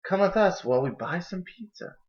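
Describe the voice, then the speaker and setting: A man's voice: high voice, lively intonation, fairly quick, pitched above the performer's own voice. A young man voicing a bossy, exasperated child sister from a picture book, scolding and commanding. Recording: dull, lo-fi camera audio.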